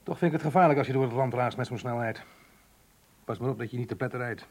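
An actor in a radio play playing a brooding, guarded man, husky and reserved, speaking in a measured way. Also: medium pitch, a little mellow, close to an everyday voice. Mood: guarded, uneasy. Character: brooding, guarded man recounting his diary. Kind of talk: radio drama narration and dialogue.

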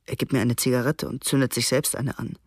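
A man reading a frightened teenage soldier's first-person account in a quiet, measured way for a German radio documentary. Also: mid-range voice, little pitch movement, dry recording, clean studio sound, close to an everyday voice. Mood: fearful.

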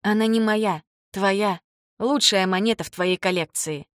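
A woman's voice: mid-range voice, unhurried, no echo, clean studio sound, natural voice. A woman voicing a hesitant, devoted grown daughter in audiobook dialogue, in a conversational way. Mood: puzzled.

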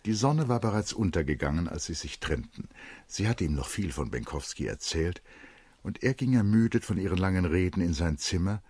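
A man with a very deep, rough and breathy voice narrates a literary radio drama. His delivery is measured, and the recording is close and dry. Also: lively intonation, a little mellow, no room sound. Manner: measured storytelling.

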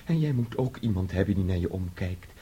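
Obedient, hesitant adolescent son, soft, mellow and breathy, lively intonation, radio drama. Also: male voice, sits low, fairly quick, highs cut off. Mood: meek, hesitant. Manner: hesitant, childlike. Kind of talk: radio play acting.

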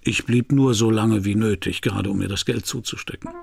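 A man's voice: on the low side, a little fast, creaky, husky, no room sound. An actor playing a hardboiled private detective who tells his own story in dry, laconic radio drama narration. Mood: dry, reflective. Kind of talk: first-person scripted radio drama narration. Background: music.

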